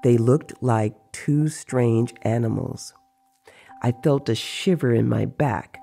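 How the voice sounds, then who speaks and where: A man's voice: medium pitch, slow, gravelly, warm tone, soft background music. A man narrating in a dramatized, literary storytelling style.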